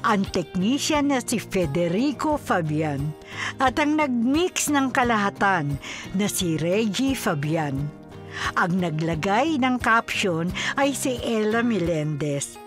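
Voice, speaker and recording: A woman's voice: on the high side, fairly bright tone. A woman working as a radio drama announcer, delivering a scripted outro and reading credits. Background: music.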